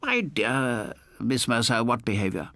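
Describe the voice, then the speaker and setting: A sly, menacing gentleman lodger, as a male narrator plays him, speaks with deliberate, smooth courtesy. He is an audiobook villain, expressive and falsely polite. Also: mid-range voice, no room sound, voice pushed up. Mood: feigned innocence. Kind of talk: audiobook character voice.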